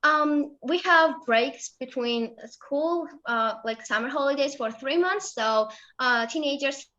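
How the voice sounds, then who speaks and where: Georgian teen girl giving a slide talk in accented English, higher voice, halting with fillers, lo-fi dull audio. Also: pushed and bright. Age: late teens. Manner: halting, with many fillers and self-corrections. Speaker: student presenter. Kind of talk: presenting slides about her country.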